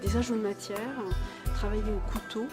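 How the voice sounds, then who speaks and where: A woman's voice: medium pitch, little pitch movement, a little fast. A woman, an exhibiting painter, giving a spontaneous interview. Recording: loud music behind.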